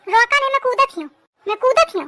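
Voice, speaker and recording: A woman's voice: very high voice, lively intonation, a little fast, bright, forceful tone, no echo, full character voice. A woman voicing a nagging cartoon village woman, exclamatory, with a rural eastern Hindi-belt accent. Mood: frantic. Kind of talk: comic cartoon skit dialogue.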